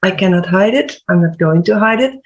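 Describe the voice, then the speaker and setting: A woman's voice: mid-range voice, animated, a little fast. Dutch-accented woman, panel guest, earnest, mid-range voice. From Netherlands. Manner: earnest, with um and uh fillers. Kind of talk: online panel discussion.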